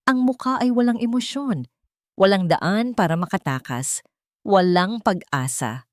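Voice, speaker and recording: A woman's voice: mid-range voice, expressive, a little slow, no room sound, clean studio sound. A woman reading dramatic scripted narration for an audio drama.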